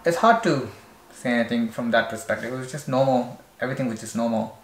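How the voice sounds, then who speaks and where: A man from India with an Indian accent, chatting in a relaxed, conversational way as a vlog co-host. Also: medium pitch, fast. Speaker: vlogger co-host. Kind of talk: casual chat. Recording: echoey room with faint ambience.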